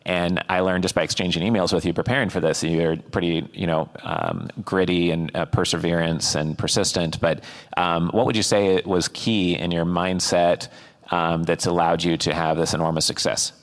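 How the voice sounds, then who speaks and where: Deep voiced guy hosting, lots of ums and uhs. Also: fairly even intonation, moderate pace. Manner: hesitant, filler-heavy questioning. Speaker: host and interviewer. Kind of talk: conference fireside chat hosting.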